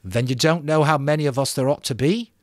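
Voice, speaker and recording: A stern young boy leader played by a man in an audiobook, pitched up above an adult register. He speaks in sharp, clipped, commanding phrases. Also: a little fast, no room sound, studio quality, natural voice. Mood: sharp, annoyed. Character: stern, curt boy leader under pressure. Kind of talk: dramatic audiobook reading of a novel.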